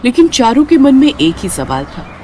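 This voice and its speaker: A woman narrating a scripted story in an expressive storytelling manner. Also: high voice, normal speed, a little hiss or hum.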